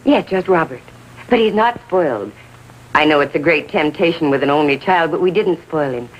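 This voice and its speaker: Genteel middle-aged American mother, trusting and defensive. Slightly rough voice, lively melodic delivery, vintage television drama. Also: deep voice, normal speed, rough recording. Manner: earnest, insistent, politely defensive. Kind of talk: 1950s TV drama acting.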